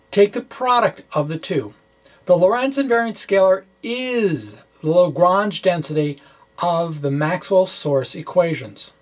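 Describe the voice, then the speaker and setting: A man explaining physics in an informal walkthrough, thinking aloud in a halting way and correcting himself, with wry asides. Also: fairly high pitch. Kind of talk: informal math walkthrough, reading and ad-libbing.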